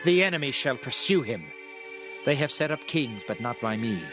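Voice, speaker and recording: A man's voice: medium pitch, expressive, normal speed, natural voice. A man acting a stern, wrathful prophet over music. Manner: solemn, declamatory. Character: stern male prophet voicing an angry, grieving God. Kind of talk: dramatized scripture reading.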